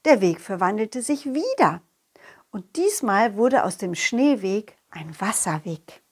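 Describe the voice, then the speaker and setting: A woman's voice: mid-range voice, slow, breathy edge, heavily acted. A woman voicing a cheerful, confident, loyal talking dog who tells his adventures playfully and expressively in a children's audio play. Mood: cheerful, narrating. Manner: playful, expressive, addressing a companion.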